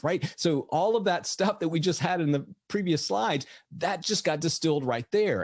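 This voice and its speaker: A middle-aged man with a slightly raspy, lecture-tired voice, giving an energetic webinar presentation full of rhetorical questions. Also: a little high, no room sound, clean studio sound. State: voice tired from lecturing all morning. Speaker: veterinarian presenter.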